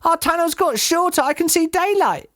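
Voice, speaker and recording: A man's voice: extremely high pitch, lively intonation, normal speed, a bit bright, dry recording, studio quality, voice pushed up. A man voicing small, frightened young foxes for a children's storybook reading. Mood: alarmed, excited.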